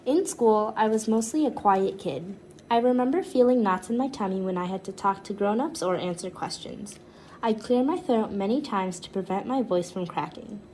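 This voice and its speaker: A woman reads informational notes from a children's book aloud. Her voice has an average pitch, and a faint layer of background noise sits behind the reading. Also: darkish tone. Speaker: reader for a children's reading program. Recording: clearly noisy background.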